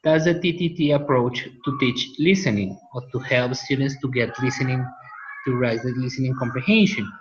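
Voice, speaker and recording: A man from Colombia gives a class lecture in English with a clear Colombian accent. He talks at a middle pitch, pausing on fillers and restarting phrases. The sound is somewhat dull and lo-fi. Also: a little hiss or hum. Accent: Colombian Spanish. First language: Spanish. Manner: hesitant, many restarts and fillers. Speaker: teacher.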